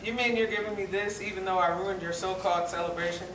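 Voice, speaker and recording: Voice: medium pitch, steady tone, quick pace, close to an everyday voice. An actor playing a grumpy, taunting bully shark in a children's stage play. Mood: suspicious. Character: grumpy bullying shark who crashes parties, later softened. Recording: echoey stage, distant mic, noisy.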